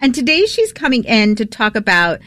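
A woman co-hosting a radio food show, chatting in a bubbly, excitable way from the studio. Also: on the high side, moderate pace. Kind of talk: casual radio chat.

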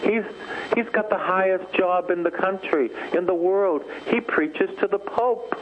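High-pitched male preacher, thin noisy recording. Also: unhurried. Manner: emphatic, repeats phrases for effect. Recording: thin phone-like band, loud noise.

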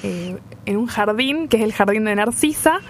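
A woman from a theater troupe talks in a friendly, easygoing way. Her voice sits at a middle pitch. A faint background hum is audible under her. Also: animated, moderate pace. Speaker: theater company member, guest. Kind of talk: casual interview chat.

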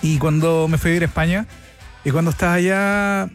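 A middle-aged man with a Chilean accent, a musician guest in a casual interview, telling stories in an animated way and acting out the dialogue. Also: a little high, fairly quick, faint music behind. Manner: animated storytelling, acts out dialogue.

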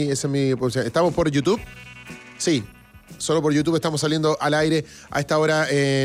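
A Chilean man hosts a live music show with a slightly high voice. He talks casually and repeats phrases for emphasis, with music playing faintly behind him. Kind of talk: casual live broadcast chat, reading out comments.

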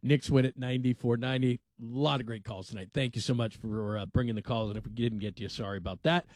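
A man's voice: mid-range voice, steady tone, quick pace, darkish tone, no room sound. A man hosting a sports call-in radio show from a studio, talking loosely and going off on tangents. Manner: loose, rambling, laughs easily. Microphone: studio microphone.

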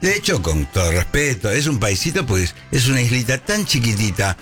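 A man's voice: medium pitch, sing-song, moderate pace, fairly bright tone, soft background music. A man with a Rioplatense accent hosting a casual sports talk show, joking and telling stories. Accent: Rioplatense Spanish. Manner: joking storyteller, acts out voices. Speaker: radio host.

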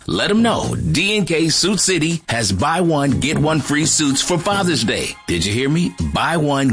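Brief: male commercial read, punchy and animated, music bed. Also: medium pitch. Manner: punchy, salesy. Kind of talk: scripted radio ad.

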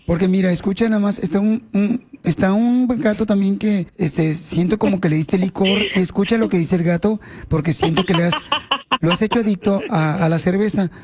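A woman acting as an indignant Mexican go-between on a radio prank call. She presses her case insistently with mock politeness, at an ordinary pitch, over clear background noise. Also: moderate pace, darkish tone, natural voice. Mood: accusing. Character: indignant woman intervening for mistreated cats, mock-serious. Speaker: radio show prank caller. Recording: noisy radio phone-prank recording.

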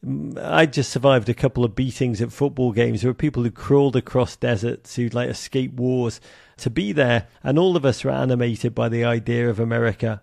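A man's voice: medium pitch, gravelly, a little mellow, no echo, clean studio sound. A man from England with a Liverpool accent, a soccer broadcaster and author, talking animatedly in an interview with many fillers. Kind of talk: conversational interview.

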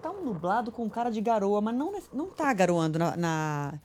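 A woman's voice: medium pitch, a little fast, a little hiss or hum. A woman from Minas Gerais, Brazil, co-hosting a live news radio show, chatting and joking between reports. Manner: chatty, joking, animated asides. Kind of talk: casual live news chat.

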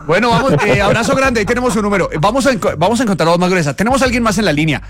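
A man's voice: high voice, very fast, crowd noise. A man co-hosting a radio show, greeting callers with playful energy. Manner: animated, playful. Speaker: radio co-host. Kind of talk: lively banter.

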